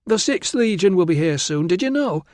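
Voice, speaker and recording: A man voicing a silly, excitable teenage girl in an audiobook, gushing, whiny and insistent. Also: high-pitched, normal speed, dry recording, studio quality, voice pushed up. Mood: excited. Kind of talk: audiobook character voice.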